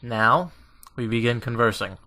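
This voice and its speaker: A man's voice: mid-range voice, unhurried, pushed and bright. A man voicing an easygoing, teasing superior who casually coaxes a young trainee in a visual novel fan dub. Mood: instructing.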